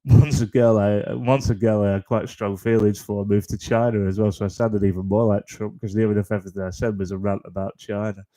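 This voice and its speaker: A man hosting a live comedy stream, rambling in a flat deadpan tone, with lots of ums, restarts and swearing. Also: fairly low pitch, a little fast, hardly any pauses, rough recording. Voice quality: deadpan, flat-toned delivery. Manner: self-deprecating, frequent ums and restarts, sweary. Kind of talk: rambling stand-up style chat on a live stream.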